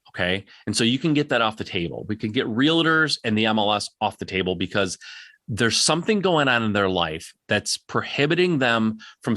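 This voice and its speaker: Male podcast host, bright and driving tone, dry close sound, blunt coaching delivery that hammers key points. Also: medium pitch, no room sound. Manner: emphatic, insistent, repeats points; direct, plain-spoken. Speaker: podcast host answering audience questions. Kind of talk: live Q&A coaching.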